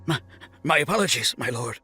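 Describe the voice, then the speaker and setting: A man's voice: mid-range voice, lively intonation, fairly quick. A man playing an eager, obedient soldier in a video game, deferential and formal toward his lord. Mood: cowed. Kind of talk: video game character acting. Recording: game audio under loud music.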